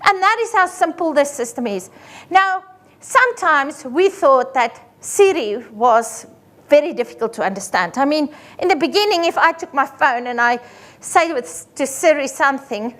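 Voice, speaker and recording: A middle-aged woman with an Afrikaans accent, an accountant giving a lively seminar presentation full of everyday examples. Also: high voice, moderate pace. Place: seminar room.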